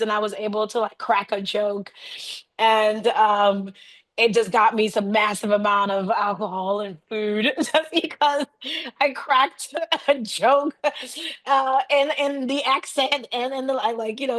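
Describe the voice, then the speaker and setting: Woman w a highish voice chatting casually, giggly, animated storyteller. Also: unhurried. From raised by a Haitian family. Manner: animated, giggly, laughs often while talking. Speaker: interview guest, bike traveler.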